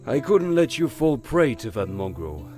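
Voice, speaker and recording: A man playing a suave, silver-tongued gentleman con artist with a flaming head in an audio drama, courtly and sprinkling in French phrases. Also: medium pitch, big pitch swings, normal speed, faint music behind, close to an everyday voice. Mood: courteous, charming.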